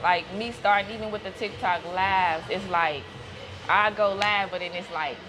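A woman's voice: mid-range voice, moderate pace, noisy background. A woman guest chatting casually, giggly and easygoing, with loose slang. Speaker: guest, gym partner. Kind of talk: casual chat.

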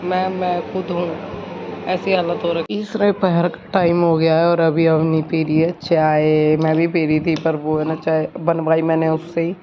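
Flat-toned village woman vlogger. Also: low voice, normal speed. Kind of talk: casual home vlog chat and narration. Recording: muffled phone audio with clear background noise.

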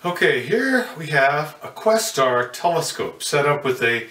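A man's voice: a little high, very animated, measured pace, pushed and bright. An older man giving a hands-on demonstration of telescope mounts, folksy and full of fillers and self-corrections. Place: indoor room in front of a curtain. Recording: roomy, slightly distant sound.

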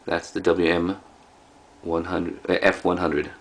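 A low-voiced man hosts a casual product showcase at home. His delivery is halting and offhand, broken into short phrases. The recording has clear background noise. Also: level tone. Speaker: video host, collector. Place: indoors in a room at home.

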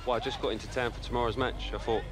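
An actor playing a smug, mocking older brother and rival footballer in a video game cutscene, with an English accent. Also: male voice, medium pitch, steady tone, very fast, lightly acted. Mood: taunting. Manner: mocking, menacing.

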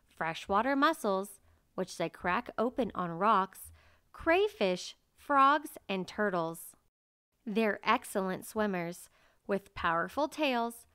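A woman reading a children's nature picture book aloud as narrator, in a measured, carefully enunciated way. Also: mid-range voice, fairly bright tone, clear, resonant tone, no echo, studio quality.

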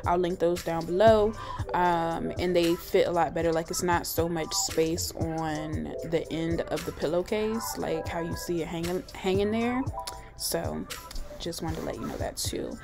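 A young woman vlogger talking casually over her video, relaxed and chatty with lots of fillers. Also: on the low side, a little slow. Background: clear background music.

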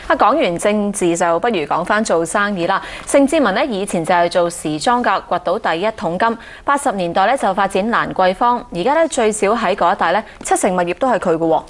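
A young woman presents in a TV studio, speaking quickly in a brisk, chatty way. Her voice sits at a middle pitch for a woman. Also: lively intonation. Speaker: TV host. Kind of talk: scripted studio chat.

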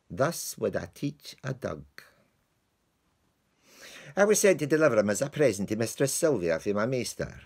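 A man doing a rueful Scottish servant clown. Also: fairly low pitch. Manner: broad dialect storytelling, mock indignant, laughing. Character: comic lowly male servant with his dog. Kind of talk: theatrical monologue.

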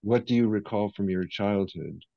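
A man's voice: lowish voice, normal speed, creaky, dark tone, dry recording, lo-fi sound. A man reading audience questions aloud to a guest speaker.

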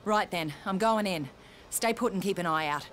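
A woman's voice: mid-range voice, moderate pace, natural voice. An actress playing a gritty, terse woman survivor in a video game. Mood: resolute. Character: gritty adult woman survivor exploring flooded tunnels. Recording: very noisy game audio with loud ambience.

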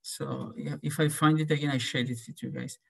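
A male professor runs roll call on a video call, pausing and hesitating as he reads out names. His voice sits at a middle pitch. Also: fairly even intonation, gravelly, a bit bright, breathy edge. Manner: hesitant, calls names slowly and asks follow-up questions.

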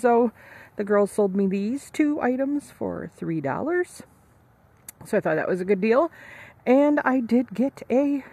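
A woman vlogger giving chatty, excited commentary to her viewers while out shopping at sales. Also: mid-range voice, husky. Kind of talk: casual vlog commentary.

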